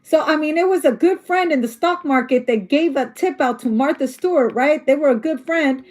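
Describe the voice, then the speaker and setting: A woman's voice: high-pitched, moderate pace, darkish tone. A woman doing a casual tarot reading who is losing her voice, talking bluntly and swearing freely. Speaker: tarot reader.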